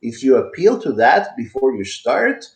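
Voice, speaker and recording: A man's voice: medium pitch, very animated, gravelly, poor audio. A man from Italy, not a native speaker, debating on a voice call from his family home. He interrupts often and probes each point with care. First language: Italian. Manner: interrupting, probing, deliberate. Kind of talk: casual online debate.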